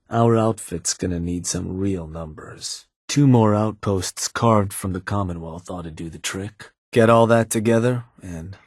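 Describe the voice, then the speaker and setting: An actor playing a shrewd, calm male raider lieutenant in a game, speaking in a dry, calculating drawl. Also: low voice, husky, no echo, full character voice. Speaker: game character. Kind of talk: game voice lines.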